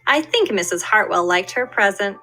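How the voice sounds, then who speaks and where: A woman's voice: medium pitch, lively intonation, normal speed, pushed and bright, faint music behind. A woman reading aloud as an eager young schoolboy in a children's storybook.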